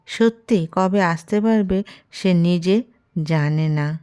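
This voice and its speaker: A woman's voice: on the low side, takes it slowly, dark tone, smooth. A woman reading a scripted romantic story aloud as a storyteller. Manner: reads character dialogue within the narration.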